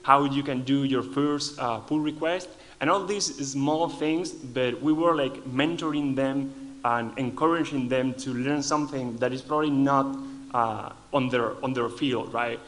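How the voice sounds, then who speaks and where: A man's voice: mid-range voice, measured pace, fairly bright tone, a little hiss or hum. A man from Argentina with an Argentinian accent, a native Spanish speaker, giving a lightning talk at a conference and often restarting his phrases.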